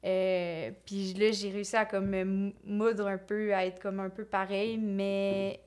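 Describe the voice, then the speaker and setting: A young woman with a Québécois French accent chats on a podcast in a loose, quick way, full of fillers. She is a comedian guest and sounds relaxed among friends. Her pitch sits in a middle range. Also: clean tone. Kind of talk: casual podcast chat.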